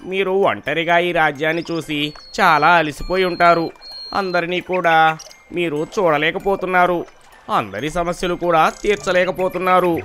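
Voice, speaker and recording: A man voicing a humble, anxious donkey in an animated fable. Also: high voice, moderate pace, a little hiss or hum. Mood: earnest. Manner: deferential, earnest. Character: humble, anxious male donkey advising the king.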